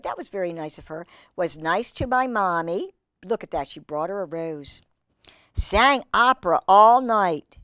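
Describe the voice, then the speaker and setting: A woman hosting a children's storytime, reading aloud with drawn-out emphasis and chatting in asides to young listeners. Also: fairly low pitch, no echo, studio quality. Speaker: host reading picture books to children.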